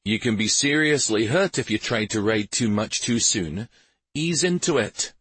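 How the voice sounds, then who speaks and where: A man voicing a stern, protective warrior officer, brisk and firm, in an audiobook story. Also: fairly low pitch, animated, fairly bright tone, slightly rough, no echo, voice pushed up, full character voice. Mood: firm, caring.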